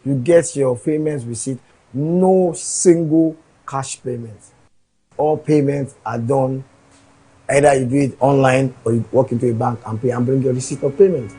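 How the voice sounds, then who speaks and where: Measured official, mellow voice. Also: male voice, medium pitch, fairly even intonation, husky, rough recording. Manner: explanatory, measured. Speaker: agency official. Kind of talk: news interview answers.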